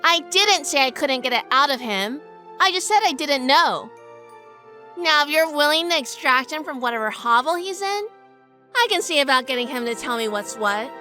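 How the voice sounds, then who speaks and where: A woman's voice: high voice, animated, moderate pace, sharp tone, soft background music. A woman playing a shrewd, sassy, hardboiled heroine narrating a detective audio drama. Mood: shrewd, cool. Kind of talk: first-person detective drama acting and narration.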